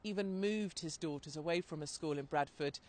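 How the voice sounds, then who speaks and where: A woman's voice: sits low, normal speed, darkish tone. A woman, speaking as a reporter and interviewer.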